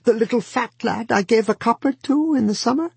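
A man doing a shrill scheming old uncle. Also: fairly quick, vocal fry, slightly rough, dry recording, full character voice. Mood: sly. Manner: crying out, high and loud. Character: scheming uncle, older man, falsely hearty.

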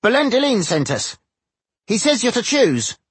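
A man's voice: sits high, big pitch swings, normal speed, breathy edge, no echo, voice pushed up, full character voice. A man voicing an indignant, disapproving dwarf stonemason with an insistent, incredulous manner, in a fantasy audiobook. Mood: eager.

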